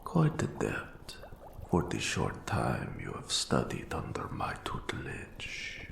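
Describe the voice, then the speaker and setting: A man voicing an old undead wraith mentor in a fantasy audio roleplay, eerie and courtly, with a gravelly, breathy half-whisper and slow, archaic, formal phrasing. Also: rumbling low, huge pitch swings, heavily acted. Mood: curious, measured. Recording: roomy echo on the voice.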